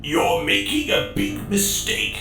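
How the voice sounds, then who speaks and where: High, quavering, terrified artisan. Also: male voice, sing-song, takes it slowly, vocal fry, roomy sound, far from the mic, music in the background, heavily acted. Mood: indignant, threatening. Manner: breathless, stammering, gasping. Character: terrified, pleading male artisan, threatened at blade-point. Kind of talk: dramatic audiobook character voice.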